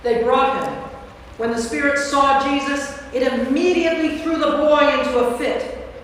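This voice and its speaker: A woman's voice: sits high, normal speed. A woman reading scripted narration for a staged Bible reading. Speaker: narrator, priest. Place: large church interior. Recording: echoey church hall from far off, faint noise.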